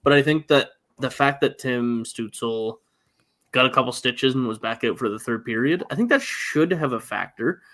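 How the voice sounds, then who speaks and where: A man's voice: medium pitch, normal speed, a bit bright. A man co-hosting a casual sports podcast, laying out the facts and then asking for his partner's views. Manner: leads the discussion, laying out the facts before asking questions. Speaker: co-host, hockey writer.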